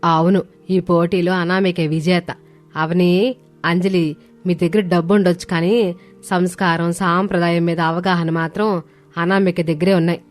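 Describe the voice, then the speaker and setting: A woman's voice: on the low side, little pitch movement, moderate pace, close to an everyday voice. A woman voicing a traditional older mother-in-law, firm and caring, with an earnest, admonishing way of talking. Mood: stern. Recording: studio voice over background music.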